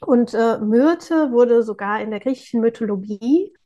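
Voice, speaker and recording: Woman podcast co-host, a touch high-pitched, easygoing chat with filler pauses. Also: animated, dull audio. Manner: warm, chatty, with frequent filler pauses.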